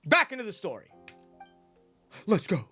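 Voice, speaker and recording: A woman's voice: mid-range voice, wildly swooping pitch, moderate pace, pushed and bright, breathy edge. A young American woman streaming a game, chatting casually and playfully in slang as she reacts to the story and her chat. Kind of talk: casual livestream commentary. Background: game music.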